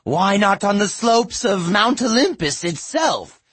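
A man's voice: high voice, very animated, measured pace, a bit bright, husky, no echo, voice pushed up, heavily acted. A man voicing a worried, defiant giantess daughter with a reedy, insistent voice in a fantasy audiobook. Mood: pleading. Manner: pleading, insistent.

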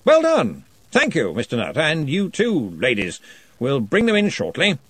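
Guy doing a big loud jolly wizard boss, huskyish, bounces all over the place. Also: fairly high pitch, huge pitch swings, unhurried, no room sound, pitched above the performer's own voice, exaggerated voice. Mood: jovial. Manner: hearty, loud, slightly threatening joviality. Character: jovial booming old male wizard chancellor.

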